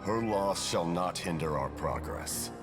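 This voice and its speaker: Stern senior officer of a dark order, game cinematic: gravelly, measured, formal. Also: male voice, low-pitched, lively intonation. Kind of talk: dramatic game trailer acting. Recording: loud orchestral music behind voice.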